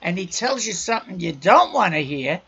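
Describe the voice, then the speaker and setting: Man, raspy and husky, loud raucous podcast preacher, muffled lo-fi recording. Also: high voice, big pitch swings, moderate pace. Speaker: podcast host.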